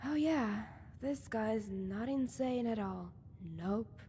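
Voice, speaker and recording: Mellow, sardonic adventuring companion. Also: female voice, fairly high pitch, slow, slight background noise. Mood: sarcastic. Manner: deadpan sarcasm. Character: dry, sardonic, impatient adventuring female companion. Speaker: game companion character. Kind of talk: natural video game character acting.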